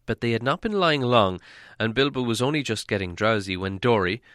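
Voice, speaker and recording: A man narrating a storybook from a script in a dramatic, expressive storytelling manner. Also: medium pitch, fairly quick, no echo, studio quality. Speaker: audiobook narrator.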